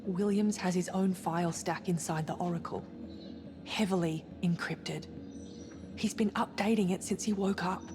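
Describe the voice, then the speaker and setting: A woman's voice: lowish voice, fairly even intonation, normal speed, music in the background, close to an everyday voice. A woman playing a rebellious, cocky, suspicious science officer in a sci-fi game, with an insistent, cheeky delivery. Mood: urgent, suspicious. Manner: insistent, cheeky, foul-mouthed. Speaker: science officer on a spaceship crew. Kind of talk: naturalistic dramatic game cutscene acting.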